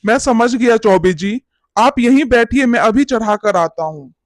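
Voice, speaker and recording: Man acting a high-voiced, timid village sweet-seller. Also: lively intonation, measured pace, dry recording, studio quality. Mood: submissive. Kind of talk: animated bedtime story character acting.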